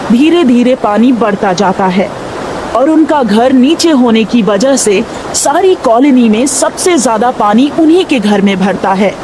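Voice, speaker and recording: A woman's voice: fairly high pitch, lively intonation, a little slow, noisy background. A woman narrator reading a scripted Hindi moral story. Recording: music behind the voice.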